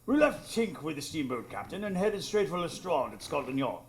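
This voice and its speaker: A man playing an earnest gentleman narrator, telling the story in a literary manner in a live radio drama. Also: on the high side, very animated, normal speed. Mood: reflective, dramatic. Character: earnest gentlemanly male doctor-narrator recounting adventures. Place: small improv theatre stage. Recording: roomy, noisy live stage recording.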